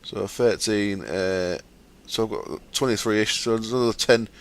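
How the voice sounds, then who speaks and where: A man hosting a gaming channel, explaining his setup step by step in a chatty way with fillers, his throat sore and croaky. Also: fairly low pitch, measured pace. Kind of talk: casual game commentary.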